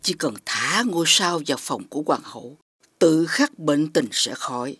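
A woman playing an imperious, scheming old witch in an animated fairy-tale dub. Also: low-pitched, normal speed, breathy edge, dry recording, studio quality, exaggerated voice. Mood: cold, imperious. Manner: imperious, commanding. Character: scheming, menacing old witch demanding a child. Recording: music bed under the voice.

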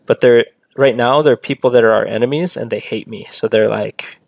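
Male game streamer, casual chatty play-by-play, gravelly edge, dry close sound, talks to chat. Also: medium pitch, normal speed, no room sound. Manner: chatty, reads and answers chat, rambling asides. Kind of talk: casual live-stream commentary.

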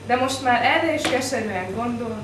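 High-voiced woman reciting, noisy echoey recording. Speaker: slam poetry performer. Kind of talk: spoken-word storytelling recital. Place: live poetry event, away from mic. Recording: echoey live event, distant mic, noisy.

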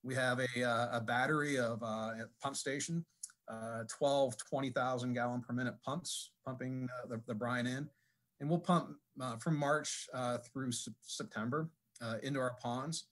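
A man giving a technical presentation at a conference, speaking haltingly with many fillers and restarts. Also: mid-range voice, fairly even intonation, fairly bright tone. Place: conference session room.

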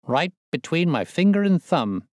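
A man's voice: medium pitch, moderate pace, no echo, studio quality, voice pushed up, close to an everyday voice. A man putting on a swaggering convict ringleader. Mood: boastful. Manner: boastful, coarse, excitable. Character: swaggering young male convict ringleader, boastful.

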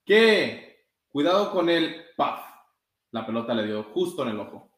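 A man's voice: a little high, very animated, measured pace, fairly bright tone, a little hiss or hum. A man in his twenties reading a children's story aloud, calling out the characters' exclamations. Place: indoor room with blinds, sitting. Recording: roomy echo.

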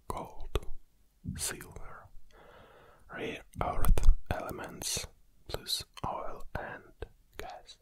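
Man whispering softly, Russian accent. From Kazakhstan. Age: 40s. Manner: soft, unhurried whisper with frequent hesitations and small laughs. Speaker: ASMR channel host. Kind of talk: ASMR close whispering. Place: small dark home studio. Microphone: studio condenser mic on a stand, wearing headphones.

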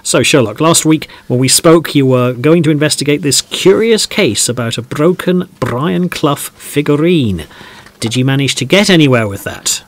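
A man's voice: on the high side, lively intonation, normal speed, lightly acted. A man playing a polite, wry football podcast host in an audio drama, with a presenterly manner. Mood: genial, presenterly. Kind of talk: conversational audio drama acting.

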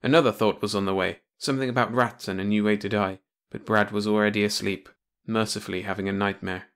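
A man's voice: on the low side, fairly even intonation, fast, a bit bright, clean tone. A man reading a comic pulp science-fiction short story as scripted audiobook narration.

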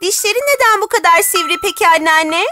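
An innocent little girl from a cartoon fairy tale. Her voice is extremely high and childlike, with a polite sing-song lilt, and music plays clearly behind it. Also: fast. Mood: puzzled.

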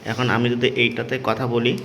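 A man's voice: mid-range voice, level tone, moderate pace, vocal fry, very noisy. A man with a Bangladeshi accent giving a casual, unscripted product demonstration as a seller, explaining repetitively and often checking that he is understood. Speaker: electronics seller presenting a product tutorial.

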